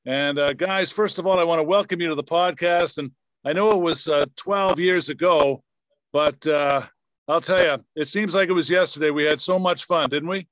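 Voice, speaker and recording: A man's voice: on the high side, moderate pace, fairly bright tone, no room sound, low-quality recording. A man hosting a sports podcast, speaking as a presenter introducing and questioning his guests. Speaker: podcast host, former radio play-by-play announcer. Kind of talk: hosted sports podcast interview.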